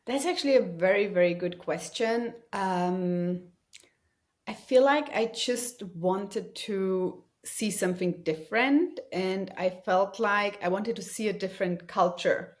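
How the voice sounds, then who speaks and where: A woman's voice: mid-range voice, unhurried. Woman from Germany, thirties, chatty podcast guest, lots of fillers. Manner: chatty, frequent fillers and restarts, enthusiastic. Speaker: guest, company founder. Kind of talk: casual conversational interview.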